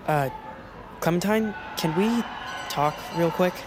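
An actor playing an earnest teenage boy activist in an audio drama, speaking sincerely with halting hesitation. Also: high-pitched, crowd noise, background noise. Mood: hesitant. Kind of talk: naturalistic audio drama acting.

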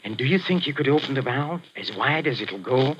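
An actor playing a soft-spoken, scheming maestro plotting a murder, in 1940s radio acting. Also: male voice, medium pitch, lively intonation, slight background noise, lightly acted. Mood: menacing. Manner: soft, insinuating, slowly building to gloating menace. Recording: muffled 1940s radio recording with music.

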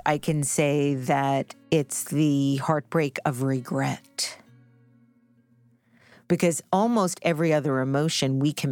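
A woman speaking reflectively in an interview, articulate and measured, with emphatic phrasing. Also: low-pitched, a little mellow, no room sound. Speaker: podcast interviewer, guest on this show.

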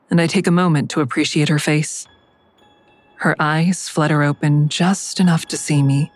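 An actress playing a guarded, wry woman investigator who narrates an audio drama in a measured, dry, understated way. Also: sits low, no echo. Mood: tender, restrained. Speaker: lead actor and narrator.

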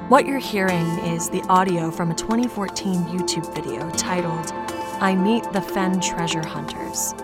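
Woman playing a low-voiced, deadpan male investigator. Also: normal speed, lightly acted. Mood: sober narrating. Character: weary, earnest male podcast investigator telling his strange story. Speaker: narrator and lead character. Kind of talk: audio drama acting and scripted narration. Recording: loud music under the voice. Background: loud music.

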